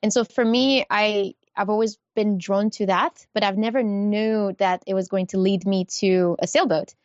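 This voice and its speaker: A woman in her thirties from Haiti, a guest speaking in a relaxed, reflective way with easy laughter. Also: mid-range voice, normal speed, no room sound. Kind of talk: conversational interview. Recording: dull dry remote line.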